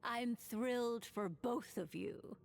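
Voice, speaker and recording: A woman's voice: fairly high pitch, slow pace, gravelly, slight background noise. An actress playing a theatrical, affectionate, teasing witch lady in a video game, with a playful drawl and flourished phrasing. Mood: grand, languid.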